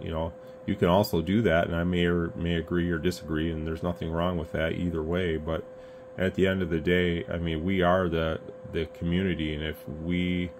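Man, very deep voice, conversational and earnest reviewer, audible background noise. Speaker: YouTube radio reviewer. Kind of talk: casual rambling opinion talk to camera. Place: indoor radio workbench room. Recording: clear steady background room noise.

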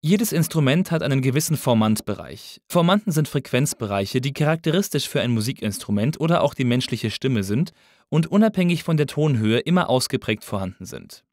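A man reading a scripted tutorial as an instructor, in a measured, didactic manner. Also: medium pitch, animated, darkish tone, no room sound, clean studio sound. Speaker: audio engineering instructor. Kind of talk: scripted lesson narration.

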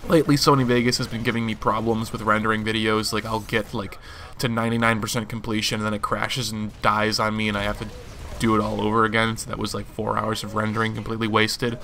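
A hoarse young man in his twenties, rambling casually through a channel update for his viewers. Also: lowish voice, fast, a little hiss or hum. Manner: rambling, relaxed, many fillers. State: hoarse from allergies. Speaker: gaming channel host.

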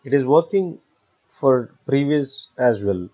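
A man giving step-by-step software tutorial narration as an instructor, in a halting, repetitive explanatory way. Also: mid-range voice, animated. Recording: dull lo-fi voice-over recording.

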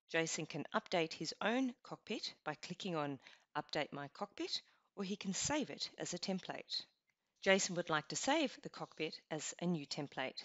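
A woman narrating a scripted software walkthrough, explaining each step in an even, instructional way. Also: sits low, dry recording. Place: voice-over over screen recording. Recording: dull lo-fi voice-over.

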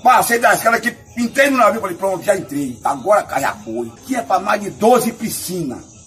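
A Bahian man tells a comic story among friends. His voice sits a little high and leaps around expressively, with slangy, repeated phrases and acted-out bits of dialogue. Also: fairly quick, faint music behind. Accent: Bahian Brazilian. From Salvador, Bahia, Brazil. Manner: excitable, slangy, repetitive, acting out dialogue. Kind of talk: animated casual anecdote telling.

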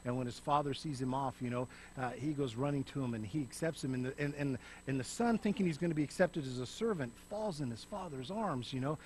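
A middle-aged man preaching on a church stage, swinging between loud emphasis and soft, hushed passages. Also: mid-range voice, brisk, few pauses, slight background noise. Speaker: pastor. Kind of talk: sermon. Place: church stage, in front of a lit backdrop.

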